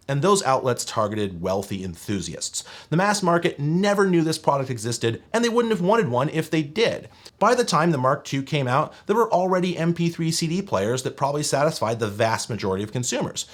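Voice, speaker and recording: A man's voice: on the high side, very animated, fairly quick. A man hosting a scripted tech history video essay, with wry, opinionated, emphatic phrasing. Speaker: video essay host and narrator.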